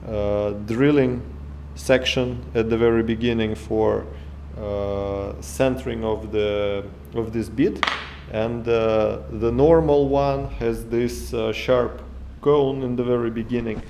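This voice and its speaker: Slow, halting Russian-accented man. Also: medium pitch, fairly even intonation, creaky, warm tone, a little hiss or hum. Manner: halting non-native English with many uh fillers and restarts. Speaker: maker vlogger. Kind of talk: casual workshop vlog. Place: home workshop room, indoors. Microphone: action camera held or mounted close.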